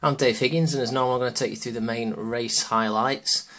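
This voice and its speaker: A man with a sore throat commentating an online sim race as host, chatty and getting excited during the action. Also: mid-range voice, fairly quick. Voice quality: slightly hoarse.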